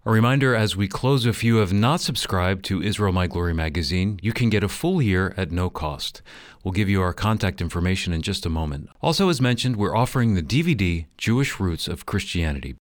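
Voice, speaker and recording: A man's voice: low-pitched, animated, fairly quick, dry recording. A man doing scripted radio announcing as the program's announcer, reading out closing notices.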